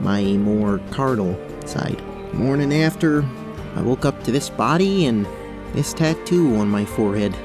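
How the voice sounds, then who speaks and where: Small goat-man monk, male actor, easygoing and hesitant, animated intonation, fantasy roleplay drama with clear music underneath. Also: medium pitch. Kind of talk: tabletop roleplay audio drama.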